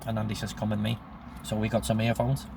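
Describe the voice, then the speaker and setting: A man's voice: fairly low pitch, monotone, quick pace, vocal fry, background noise. A man of sixty with a Northern Irish accent, telling stories from his own life in a casual, rambling way and quoting remembered conversations. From Northern Ireland. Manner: rambling, acts out remembered dialogue. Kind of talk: casual anecdotal monologue.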